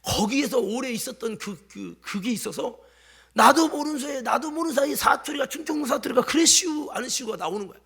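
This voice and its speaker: Pastor guy w/ a really high voice, bit of chungcheong accent, goes loud then hushed, keeps asking the church questions. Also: expressive, fairly quick, creaky. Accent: light Chungcheong Korean. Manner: swings from loud exhortation to hushed asides, quizzes the congregation. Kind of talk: sermon.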